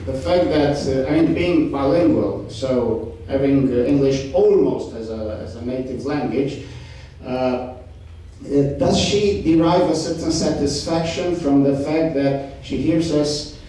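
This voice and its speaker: A man speaking on a discussion panel, halting, with many fillers and restarts. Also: mid-range voice, mellow. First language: Romanian. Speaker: panel participant. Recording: echoey hall, distant mic, faint noise.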